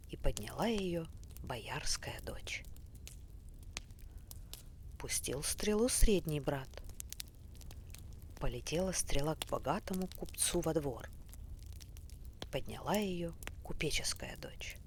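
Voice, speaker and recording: A woman narrating a bedtime fairy tale in a soft, often whispery, unhurried voice. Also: low voice, expressive. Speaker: storyteller. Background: faint fireplace crackle.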